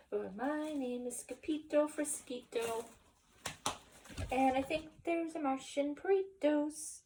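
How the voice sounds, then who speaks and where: A woman voicing an excitable, boastful little boy kitten who believes he is a chihuahua, in a storybook reading. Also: sits high, slight background noise. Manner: exclamatory, playful, dramatic.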